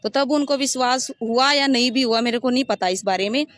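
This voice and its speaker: A woman's voice: high voice, brisk, fairly bright tone, dry recording, clean studio sound. A woman giving a detailed, step-by-step personal account in an interview. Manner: rambling, detailed step-by-step recounting. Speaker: interviewee recounting her complaint.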